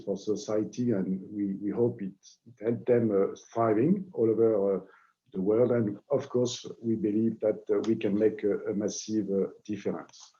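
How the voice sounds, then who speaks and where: French man presenting over a call; low-pitched, dark tone, halting delivery with many fillers, muffled audio. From France. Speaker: guest presenter. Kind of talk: webinar presentation. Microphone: video call. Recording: dull lo-fi online call audio.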